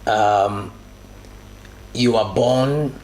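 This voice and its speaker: A man's voice: lowish voice, extremely slow, darkish tone, rough recording. A man hosting an interview podcast, asking questions hesitantly with many "uh"s and repeated words. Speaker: podcast host. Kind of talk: conversational interview.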